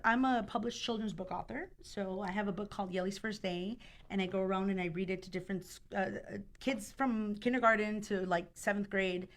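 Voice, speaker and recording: Lively woman telling stories in an interview. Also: medium pitch, brisk. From born in Chicago, raised partly in Mexico. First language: Spanish. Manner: animated storytelling, many fillers and restarts. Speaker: guest, children's book author. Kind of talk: casual interview talk.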